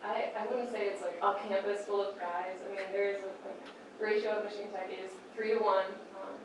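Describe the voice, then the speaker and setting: A young woman from Wisconsin, a student co-hosting a casual webcast chat, relaxed and full of fillers. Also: mid-range voice, fairly quick, recorded from a distance. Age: young adult. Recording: echoey room, voice from off-mic, room noise.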